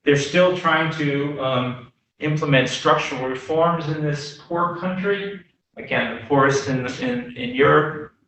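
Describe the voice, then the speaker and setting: An older American man giving an informal lecture, telling anecdotes in a halting, self-deprecating way. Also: a little high, fairly bright tone. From Boston, United States. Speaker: guest lecturer, development consultant. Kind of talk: informal slide lecture with audience questions. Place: echoey meeting room before a small audience. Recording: very echoey room, distant microphone.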